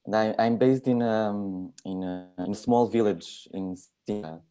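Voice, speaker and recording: A man introducing himself informally as a teacher, in a halting way with repeated words. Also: on the low side, dark tone. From Germany. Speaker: festival teacher.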